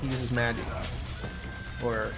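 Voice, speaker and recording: Casting: male game commentator, mid-range voice, analytical and casual, clear music bed underneath. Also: medium pitch, flat delivery, slow pace. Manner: explanatory, analytical. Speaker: gaming commentator.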